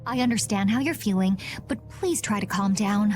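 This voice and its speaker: A woman playing a calm, rational young adventurer with a measured delivery in a video game. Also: mid-range voice, faint music behind, lightly acted. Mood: reassuring.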